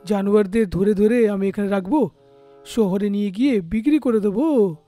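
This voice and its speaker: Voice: mid-range voice, moderate pace, mellow, faint music behind, lightly acted. An actor playing a greedy, scheming male hunter who gloats in a cartoon. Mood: gloating.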